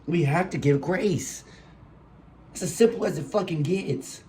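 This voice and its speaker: A man's voice: on the high side, measured pace, mellow, slight background noise. A young man with a cold, sniffling as he talks, preaching passionately and profanely into a phone mic in a room with colored lights. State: sick and sniffling. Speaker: podcast host. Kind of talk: solo podcast monologue.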